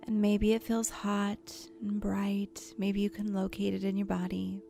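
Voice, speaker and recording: A woman guides a visualization in a soft, mellow voice with noticeable vocal fry. She speaks gently and soothingly, leaving unhurried pauses and sometimes slipping into breathiness. Faint music plays underneath. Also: medium pitch, completely flat delivery. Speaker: guided meditation host. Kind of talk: guided imagery meditation narration. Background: soft background music.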